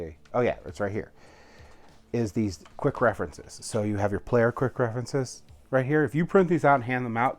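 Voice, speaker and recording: A man giving a casual, rambling, enthusiastic review as he explains a game rulebook. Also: low-pitched. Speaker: tabletop game reviewer, host.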